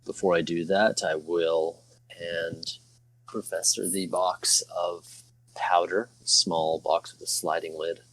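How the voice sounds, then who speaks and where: A man's voice: sits low, flat delivery, slow, fairly bright tone, rough recording. A man speaking casually as a player in a tabletop roleplaying game.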